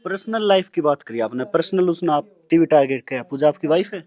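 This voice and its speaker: A man's voice: fairly high pitch, lively intonation, a little fast, a little mellow, dry recording. A man with a Haryanvi accent asking questions in an informal interview.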